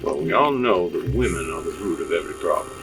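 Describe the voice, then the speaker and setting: A man's voice: low voice, expressive, fast, over music. A man playing a practical, patient frontier father with dry humor in an audio drama. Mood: matter-of-fact.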